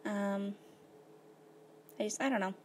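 Slightly hoarse woman rambling over gameplay. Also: mid-range voice, steady tone, slow pace, a little hiss or hum. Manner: rambling, filler-heavy, laid-back. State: slightly hoarse, losing her voice. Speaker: gaming video host. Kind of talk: casual gameplay commentary.

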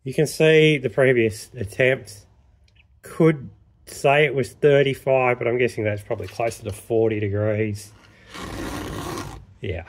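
A man speaks plainly and practically while working at a workshop bench. His voice sits at an everyday mid pitch, and he explains each step in a relaxed, no-nonsense way. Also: measured pace, pauses often. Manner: plain-spoken, matter-of-fact, practical. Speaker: chainsaw sharpening instructor. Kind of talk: casual workshop demonstration.

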